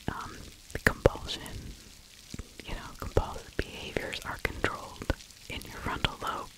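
Woman doing asmr true crime, all whispers, real slow and halting, right up on the mic. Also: rough recording. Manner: hushed, halting delivery with pauses. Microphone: close microphone with fluffy windscreen.

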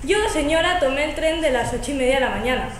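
A woman's voice: high voice, quick pace, slight background noise, natural voice. A teenage girl from Spain, playing a formal, overly polite lady who keeps exclaiming in surprise. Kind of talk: amateur school stage acting. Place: large school hall stage. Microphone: far camera. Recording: echoey hall from far off.

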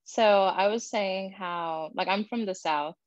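A woman's voice: mid-range voice, slow pace, no room sound, highs cut off. A woman from Florida chatting on a video call, with many "like"s. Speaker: workshop participant. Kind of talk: casual sharing on a video call.

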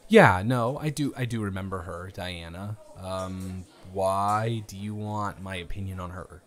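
A man's voice: sits low, unhurried. A young man improvising a first-person date roleplay, teasing and rambling with frequent restarts, recorded close on a home studio mic. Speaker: audio roleplay performer.

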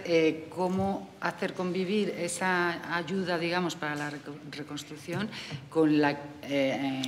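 A middle-aged woman speaking on a conference panel about aid work, hesitant and pausing often. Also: deep voice. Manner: hesitant, many fillers and restarts. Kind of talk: panel discussion. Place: conference hall, indoors. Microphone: desk microphone. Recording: reverberant hall, faint room noise.

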